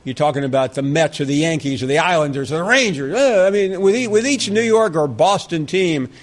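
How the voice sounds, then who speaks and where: A Southern-accented man in middle age hosts a sports call-in show, his voice sitting a little high. He talks in quick, animated bursts and jokes around with callers. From American South. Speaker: radio host. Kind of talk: sports talk radio.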